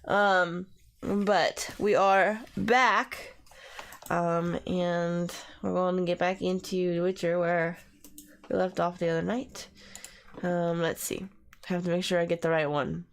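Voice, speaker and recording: A woman's voice: on the low side, level tone, slow pace, slight background noise. Stuffy-nosed woman streamer. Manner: chatty, with frequent ums. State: stuffy nose from a head cold. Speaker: streamer host. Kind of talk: casual live gameplay chat.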